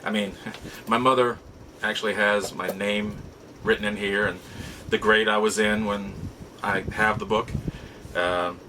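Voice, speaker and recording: A middle-aged man hosting a show, telling nostalgic stories casually in a halting, reflective way with emphatic repeats. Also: lowish voice, level tone, sharp tone, a little hiss or hum, low-quality recording. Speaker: show host. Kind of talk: casual nostalgic storytelling to viewers.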